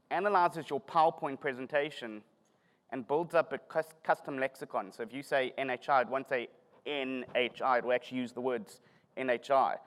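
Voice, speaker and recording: A man's voice: mid-range voice, fairly bright tone, smooth. A middle-aged man with a South African accent giving a self-deprecating, joking conference talk. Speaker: conference speaker. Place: conference hall. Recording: long hall reverb.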